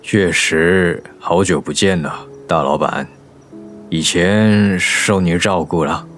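An actor playing a regretful middle-aged father and former gambler in a radio drama, speaking heavily and deliberately. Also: sits low, steady tone, fairly bright tone. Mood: grave, resigned. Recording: dramatic music behind the voice.

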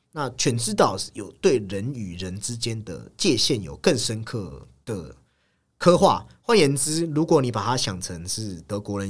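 A man hosting a film discussion, explaining and analyzing in an explanatory way, often repeating words and phrases. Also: lowish voice. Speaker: film podcast host.

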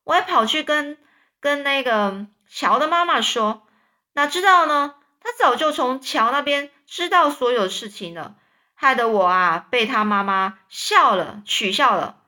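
Indignant aunt, scolding, a woman voices her, high and bright. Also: expressive, normal speed.

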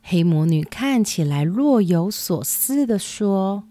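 A woman's voice: medium pitch, expressive, slow pace, warm tone, no room sound, studio quality. A woman narrating a scripted children's story as the storyteller.

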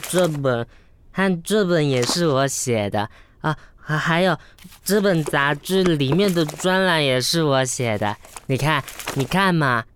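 An actor in an audio drama playing a drunken, loud, foul-mouthed young male writer who gets childishly needy, slurring his words. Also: a little high, lively intonation, a little slow, a little hiss or hum. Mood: drunken boasting, whiny.

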